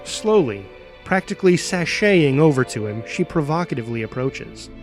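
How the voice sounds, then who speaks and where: A man's voice: fairly high pitch, sing-song, normal speed, faint music behind. A man reading scripted action narration as the narrator.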